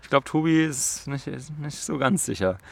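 A young man runs a relaxed quiz over a call, reading facts aloud. His delivery halts and restarts as he trips over words. His voice sits at an ordinary pitch. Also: creaky. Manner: halting, reads with slips and restarts. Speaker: quiz host. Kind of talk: casual game chat, reading facts aloud.

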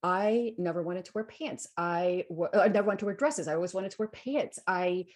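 Female interview guest, forties, Guatemalan-born. Lower register with a raspy edge, emphatic, personal, reflective. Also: moderate pace, darkish tone. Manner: emphatic, repeats phrases for emphasis.

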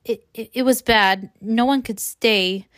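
Woman voicing a gentle, anxious young woman. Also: fairly high pitch, close to an everyday voice. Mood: nervous. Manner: halting, soothing, nervous.

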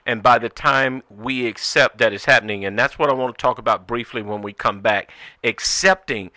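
Bright, punchy male radio host. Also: medium pitch, normal speed, no room sound. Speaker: radio talk show host. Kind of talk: conversational radio hosting.

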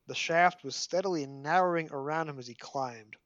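A man's voice: fairly high pitch, moderate pace, no room sound, lo-fi sound. A man with a scratchy voice and a Brooklyn accent, hosting a podcast chat and reading passages aloud from a book. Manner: animated storyteller, mock dramatic. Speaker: podcast host.

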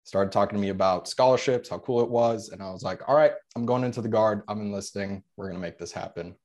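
Low-voiced young man telling his story. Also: quick pace. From Chicago suburbs, USA. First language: Polish. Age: 20s. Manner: relaxed storytelling with frequent "uh" and "like". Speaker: guest, veteran and real estate investor. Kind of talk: podcast interview.

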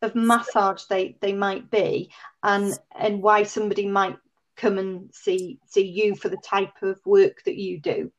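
A woman interviewer with a croaky voice, asking chatty, thoughtful questions. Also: medium pitch, little pitch movement, measured pace, highs cut off. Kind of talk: conversational interview.

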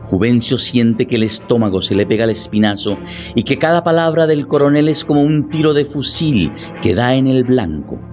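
Male storyteller for a radio drama, medium pitch, scripted dramatic delivery, soft music underneath. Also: animated, moderate pace. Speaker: narrator.

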